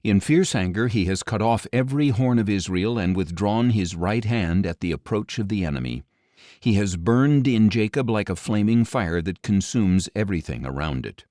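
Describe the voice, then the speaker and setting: Solemn low-voiced man reading scripture. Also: lively intonation, mellow, no room sound, clean studio sound. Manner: measured, grave delivery with deliberate pauses. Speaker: audiobook narrator.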